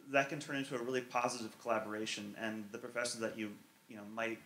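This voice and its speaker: A man's voice: mid-range voice, a bit bright, slight background noise. A young man on a graduate student panel, speaking emphatically and directly to the audience. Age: young adult. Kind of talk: panel talk. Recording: echoey room.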